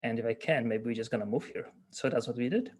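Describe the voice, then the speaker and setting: A man from Poland with a Polish accent, a guest giving measured, explanatory answers with some hesitation. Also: mid-range voice, darkish tone, breathy edge. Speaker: guest, agile coach. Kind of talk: interview answers.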